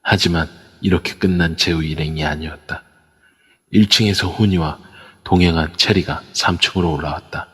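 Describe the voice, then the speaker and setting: Brief: steady male narrator, very low and husky, audio drama. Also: moderate pace. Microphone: phone via a voice chat app. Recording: long reverb.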